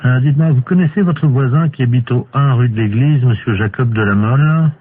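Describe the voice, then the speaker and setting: A man playing a hesitant, deadpan male court official in an improvised telephone prank. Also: medium pitch, brisk, natural voice. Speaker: prank caller. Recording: tinny phone line with clear hiss.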